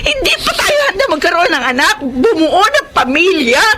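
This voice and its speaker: A woman's voice: very high-pitched, very animated, moderate pace, heavy background noise. A woman playing an overwhelmed, bitter young Filipino mother in a radio drama. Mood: anguished, shouting. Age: young adult. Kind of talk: Tagalog radio drama acting.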